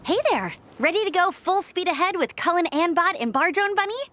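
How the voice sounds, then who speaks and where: A woman's voice: very high voice, lively intonation, a little fast, fairly bright tone, faint music behind. A woman playing an earnest, helpful young logistics worker in a video game, explaining things in a friendly way. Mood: upbeat. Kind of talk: video game character dialogue.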